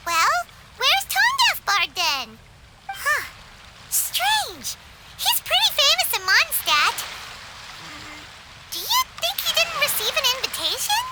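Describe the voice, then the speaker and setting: A woman voicing a tiny, excitable childlike fairy companion in a video game, with a squeaky, perky delivery. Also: slow pace, long pauses, background noise, exaggerated voice. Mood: curious, musing. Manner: perky, talks in third person. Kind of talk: English game voice acting.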